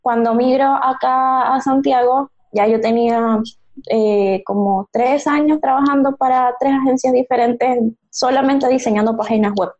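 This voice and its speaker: Venezuelan woman, interview guest. Highish, mellow voice, slightly muffled lo-fi recording. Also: steady tone, unhurried. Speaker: podcast guest, designer. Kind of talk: conversational interview answers.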